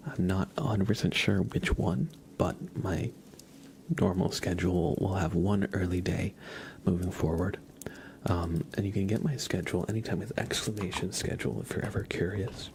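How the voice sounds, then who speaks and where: A man streaming ASMR, chatting softly and gently in a breathy, often whispered voice, unhurried and informal, close to the microphone. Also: sits low, slight background noise. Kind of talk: soft-spoken ASMR livestream chat.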